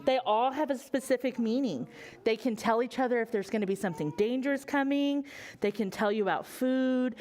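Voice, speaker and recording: A woman hosting a story time for children at a library, talking in an expressive, exclamatory way straight to her young audience. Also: on the high side, brisk. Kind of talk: enthusiastic presentation to children.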